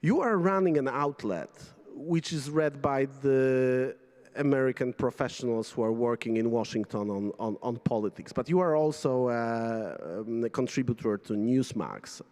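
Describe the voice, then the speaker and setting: A man whose first language is Polish, moderating a conference panel and halting as he searches for his words. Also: mid-range voice, a little mellow. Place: large conference hall stage. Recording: reverberant hall.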